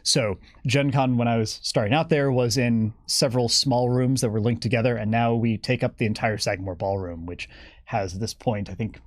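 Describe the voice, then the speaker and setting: A man's voice: mid-range voice, steady tone, normal speed, no echo, studio quality. A man speaking as an interview guest, explaining his work as a game developer in a casual, enthusiastic way.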